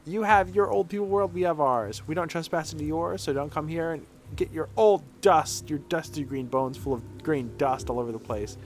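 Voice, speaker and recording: A man's voice: on the high side, moderate pace, few pauses, soft background music, lightly acted. A man doing a bratty taunting kid. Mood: mocking. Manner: taunting, singsong teasing. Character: bratty mocking child gatekeeper.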